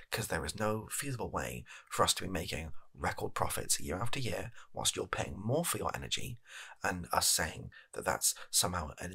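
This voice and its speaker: A man's voice: low-pitched, gravelly, sharp tone, husky, exaggerated voice. A British man playing a flustered, shifty energy company chief, bumbling and evasive, in an improvised comedy sketch. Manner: bumbling, evasive, halting, put-upon. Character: flustered, shifty male energy company CEO recording an address.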